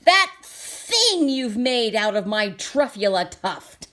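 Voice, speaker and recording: A woman acts out a little old forest guardian from a storybook, male and bossy. The voice is sharp and indignant, swooping up high and stretching words out for emphasis. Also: sing-song, takes it slowly, heavily acted. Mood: indignant, pleading. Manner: bossy, emphatic, shouting and puffing.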